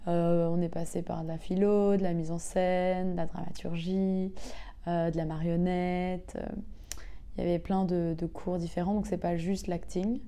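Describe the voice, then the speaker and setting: A woman's voice: lowish voice, little pitch movement, moderate pace, warm tone, clean tone. A woman in her twenties from the south of France, a singer and actress telling her story in an interview with many hesitations. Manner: hesitant, many filler sounds, laughs easily. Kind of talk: casual interview talk.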